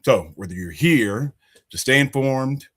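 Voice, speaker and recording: A man's voice: medium pitch, animated, measured pace, fairly bright tone. A man from Alabama hosting a political podcast, talking in an emphatic, conversational way. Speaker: podcast host.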